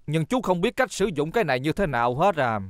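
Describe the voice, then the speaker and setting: A man voicing a kindly, excitable elderly grandfather shopkeeper in a children's cartoon dub, expressive and given to muttering. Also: a little high, fast, no room sound, studio quality. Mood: friendly, eager. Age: older. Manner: expressive, muttering, exclaiming to himself. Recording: music under the voice.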